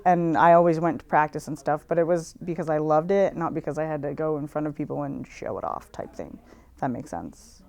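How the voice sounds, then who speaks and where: A young woman who works as a sound technician in theater, answering interview questions in a candid, halting way. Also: sits low, gravelly, a little mellow. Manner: candid, hesitant with fillers.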